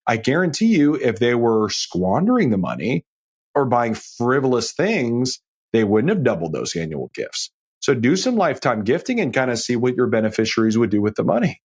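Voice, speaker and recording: A man with a lively, swinging pitch and a slightly husky voice hosts an advice podcast. He speaks as a financial advisor, informally and straight to the listener, in an easy conversational monologue. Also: normal speed. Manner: informal, direct address with frequent checking tags like "okay?" and "right?".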